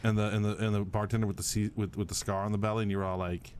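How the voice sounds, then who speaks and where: A man's voice: on the low side, level tone, rapid-fire, a little mellow, slight background noise. A man in his late twenties co-hosting a podcast, telling rambling, profane anecdotes with restarts. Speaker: podcast co-host. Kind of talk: casual profane chat.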